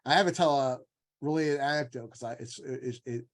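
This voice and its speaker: A man's voice: medium pitch, normal speed. A man speaking as an author and scholar in an informal panel discussion, explaining in a discursive, emphatic way and often acting out imagined quotes. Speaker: author and legal scholar guest.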